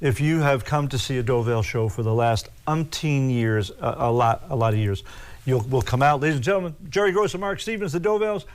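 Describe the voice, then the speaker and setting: Older philly guy, big booming voice, proud and lively telling stories. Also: fairly quick. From Philadelphia. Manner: proud, animated storyteller. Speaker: singer guest. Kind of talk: casual chat.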